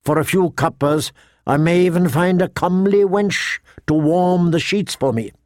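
A man's voice: sits high, moderate pace, a little mellow, no room sound, pitched above the performer's own voice, close to an everyday voice. A man voicing a sardonic, dignified dwarf nobleman in an audiobook, with dry, wry, formal speech. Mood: curt, mocking. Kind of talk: audiobook character acting.

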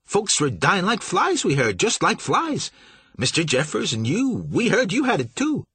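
A man's voice: high voice, huge pitch swings, measured pace, fairly bright tone, slightly rough, dry recording, voice pushed up, full character voice. A man voicing a flustered, nervous young shipping agent who stammers and fawns. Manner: stammering, fawning.

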